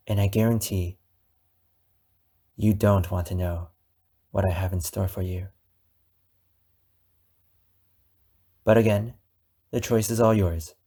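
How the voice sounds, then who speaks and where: A man playing an obsessive, wounded, possessive captor in an ASMR roleplay, speaking deliberately with dramatic pauses. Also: lowish voice, fairly even intonation, warm tone, husky. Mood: quiet, menacing. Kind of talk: ASMR roleplay monologue addressed to the listener.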